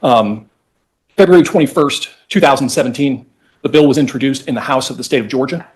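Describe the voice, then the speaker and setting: A man speaking as a lawyer in court, methodically walking a judge through legal history in an explanatory, slightly halting way. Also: medium pitch.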